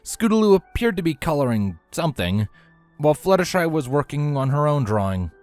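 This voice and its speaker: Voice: medium pitch, sing-song, brisk, darkish tone, faint music behind. A narrator reading scripted fiction aloud as storytelling. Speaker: narrator, fanfiction reader.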